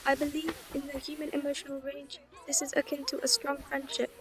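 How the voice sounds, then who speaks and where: A woman's voice: high-pitched, completely flat delivery, fairly quick, lightly acted. A woman playing an earnest officer who talks about human emotions in a formal, precise way.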